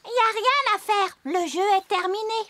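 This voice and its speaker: A woman voicing an excitable, pleading young animal child in an expressive, whiny cartoon manner. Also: very high-pitched, moderate pace. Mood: disappointed.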